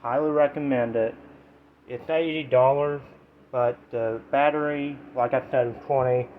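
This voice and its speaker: A man in his twenties speaks in a nasal voice, with a halting, rambling delivery broken into short fragments. He sounds like a casual vlogger talking to his viewers. The audio is very thin and phone-like, with faint noise behind him. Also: medium pitch, steady tone. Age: 20s. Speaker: YouTube vlogger reviewing products. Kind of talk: casual chat to camera, informal product review.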